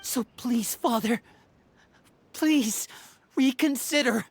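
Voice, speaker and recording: A woman's voice: on the high side, slow pace, breathy edge, full character voice. A brave young woman pleading. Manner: earnest, pleading.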